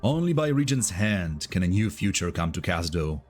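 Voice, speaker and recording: A man voicing a stern, disillusioned mercenary commander in a dramatic game dub, speaking gravely and deliberately. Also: low-pitched, big pitch swings, darkish tone, faint music behind. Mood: grave, resolute.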